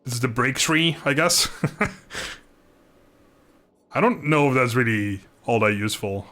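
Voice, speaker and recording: A man livestreaming a video game, chatting informally and thinking aloud in a halting, casual way. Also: mid-range voice, expressive, pushed and bright. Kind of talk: casual livestream chat over gameplay.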